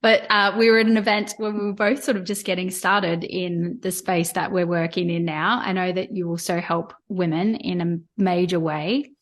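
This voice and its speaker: An Australian woman hosting a podcast, reading an upbeat scripted intro and then chatting warmly with a guest. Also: fairly low pitch, level tone, normal speed, few pauses. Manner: upbeat and enthusiastic, with some filler words in conversation. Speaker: podcast host and life coach.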